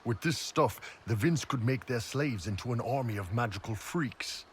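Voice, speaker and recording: An actor playing a big, laid-back horned mercenary warrior in a video game, talking bluntly and casually. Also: male voice, mid-range voice, expressive, moderate pace, slight background noise, lightly acted. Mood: wary.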